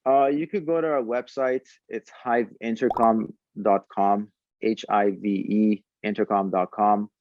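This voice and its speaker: A man's voice: on the low side, steady tone, measured pace, no room sound, highs cut off. A man talking conversationally as a podcast guest, explaining a product and often repeating words as he goes. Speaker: startup founder, podcast guest.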